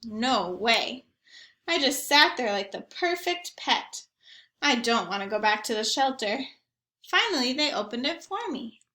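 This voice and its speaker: A woman voicing an eager, naive, mischievous young dog telling his own picture-book story in an expressive, earnest way. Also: a little high, a little slow, reverberant, full character voice. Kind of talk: first-person picture book narration.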